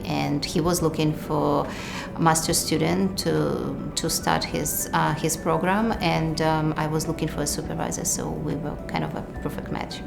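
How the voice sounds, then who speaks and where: Low gravelly woman with Ukrainian accent. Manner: measured, thoughtful, hesitant fillers, non-native grammar. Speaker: physician and researcher. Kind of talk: documentary interview.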